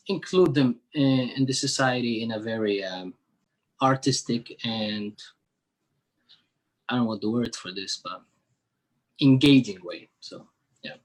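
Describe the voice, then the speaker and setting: Guy from syria, chatting as an interview guest, mid-pitched voice, kinda searching for his words. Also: poor audio. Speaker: interview guest, musician working with NGOs. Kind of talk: conversational interview answers.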